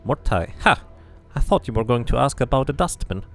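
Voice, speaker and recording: A man's voice: medium pitch, animated, fairly quick, fairly bright tone, soft background music. A man voicing a cranky, scornful old woman who gossips and mutters insults in a fantasy game.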